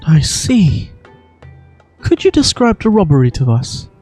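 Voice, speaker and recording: An amateur voice actor playing a calm, courteous female guardian from a video game, speaking in a measured, cautioning way. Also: medium pitch, husky, soft background music. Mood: courteous, firm.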